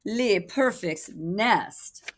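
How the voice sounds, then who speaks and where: A woman's voice: medium pitch, expressive, very slow, voice pushed up. A woman voicing a fussy female duck in a storybook, exclaiming in a put-upon way. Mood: delighted.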